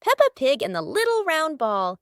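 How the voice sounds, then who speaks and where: A woman's voice: sits high, huge pitch swings, normal speed, no echo. A woman reading scripted children's story narration in short, simple storybook sentences.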